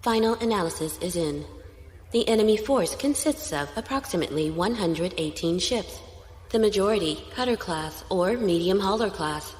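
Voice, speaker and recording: A woman's voice: medium pitch, animated, normal speed, a little mellow, lightly acted. A woman playing a dutiful squire who gives precise, formal sensor reports in a science fiction audio drama. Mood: clinical, formal. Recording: echoey, long reverb on voice.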